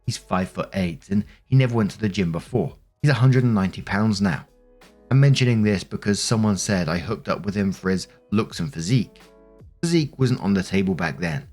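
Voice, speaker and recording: A man's voice: fairly low pitch, darkish tone. A man narrating online posts, reading steadily and adding brief casual asides. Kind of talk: reading posts aloud with commentary.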